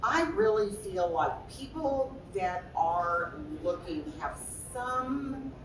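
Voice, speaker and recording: A woman's voice: mid-range voice, lively intonation, slow, reverberant, recorded from a distance, background noise. A woman interior designer on a trade-show panel, speaking forthrightly in a big voice with many restarts.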